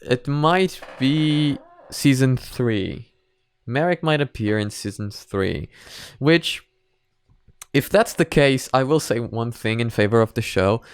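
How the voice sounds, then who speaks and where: Hesitant man rambling in a dry, clear recording. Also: medium pitch, expressive, takes it slowly, no echo. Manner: hesitant, rambling thinking aloud. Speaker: host of anime reaction videos. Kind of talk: casual off-the-cuff commentary.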